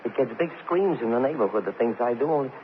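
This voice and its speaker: A muffled, hissy vintage radio recording carries an actor as a down-and-out drunk man. He talks in halting street slang, circling back on his words, pleading and sorry for himself like someone cornered. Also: medium pitch, lively intonation, natural voice. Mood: desperate, confessing. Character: rambling, self-pitying gutter drunk man, cornered and pleading. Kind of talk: 1950s radio crime drama acting.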